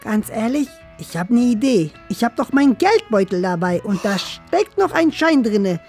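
A man's voice: sits high, animated, moderate pace, a little mellow. A young man playing a nerdy, eager schoolboy in a classroom comedy sketch, fussy, pleading and hesitant. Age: young adult. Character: nerdy, eager schoolboy desperate to impress his father. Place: small bright classroom, indoors. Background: faint music.

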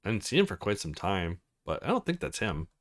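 A man's voice: low-pitched, wildly swooping pitch, quick pace, pitched below the performer's own voice, exaggerated voice. Man reading a sweet, playful young female model. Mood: thoughtful.

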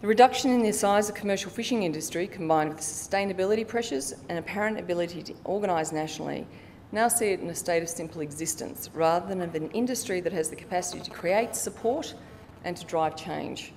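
Low-voiced woman in a reverberant hall. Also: fast talker, few pauses, a little mellow. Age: middle-aged. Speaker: conference presenter, researcher. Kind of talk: prepared formal conference presentation. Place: conference hall stage, indoors. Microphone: lectern microphone. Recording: reverberant hall, audible low background rumble.

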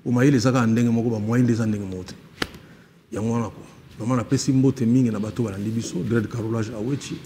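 A man's voice: medium pitch, brisk, a little hiss or hum. A man, a musician guest on a TV studio talk show, speaking easily and mixing Lingala with French. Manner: relaxed, lists names, mixes Lingala and French. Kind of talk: casual studio interview. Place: TV studio set.

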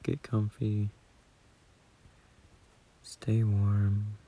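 A man playing a gentle, shy, doting young boyfriend in a sleep-aid audio roleplay, murmuring softly and hesitantly close to a phone mic. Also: on the low side, completely flat delivery, drawn-out words, a little hiss or hum. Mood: soothing, tender. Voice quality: husky, soft, breathy murmur.

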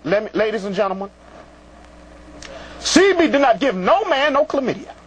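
A man's voice: very high voice, sing-song, normal speed, husky, poor audio. An older man hosting a live call-in show with sassy, theatrical, scolding banter in a small TV studio.

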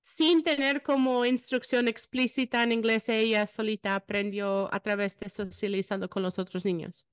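A woman's voice: a little high, steady tone, normal speed, hardly any pauses, clear, resonant tone, dry recording, clean studio sound. A woman in her thirties hosting a podcast interview over a video call, encouraging and affirming, speaking Spanish as a second language after English. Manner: warm-paced, encouraging, affirming. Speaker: podcast host. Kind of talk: casual interview conversation. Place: room with plain gray wall.

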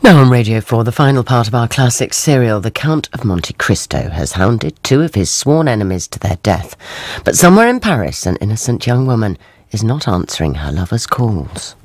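A male radio announcer reads a scripted introduction to a programme. His voice is fairly low, with expressive, varied intonation. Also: moderate pace.

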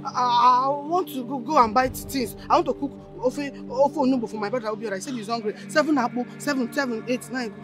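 A woman as a mad young woman, high voice, quick rambling chant. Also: over music, lightly acted. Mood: confused.

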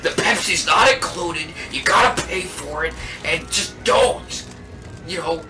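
A man playing a goofy, excitable, hammy video game character in a comedic amateur fan dub. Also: on the high side, unhurried, close to an everyday voice. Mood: jokey sales pitch. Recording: distant mic under very loud music.

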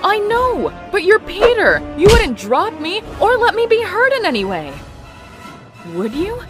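Woman acting spirited high-voiced young bride. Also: huge pitch swings, measured pace, over music, exaggerated voice. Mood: pleading. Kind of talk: amateur comic dub acting.

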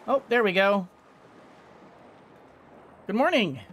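A man's voice: sits high, animated, takes it slowly, fairly bright tone. A middle-aged man giving casual let's play commentary, hesitant and musing to himself as he plays. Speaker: gaming video host. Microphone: microphone on boom arm, headset. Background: game music.

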